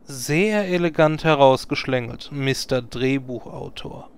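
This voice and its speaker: A man's voice: mid-range voice, unhurried, slight background noise, close to an everyday voice. A man playing a wry, sarcastic raid leader handing out orders in a German parody audio play. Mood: sarcastic, worried.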